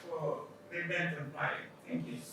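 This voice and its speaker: Education professor, cheerful high voice, distant in a reverberant hall with noise. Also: male voice, steady tone, moderate pace. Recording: echoey room from far off.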